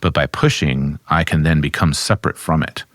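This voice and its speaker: A man, a somatic therapist, calmly explaining and demonstrating grounding exercises at his desk. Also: very deep voice, normal speed, no room sound, studio quality. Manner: calm, instructive, speaks while demonstrating.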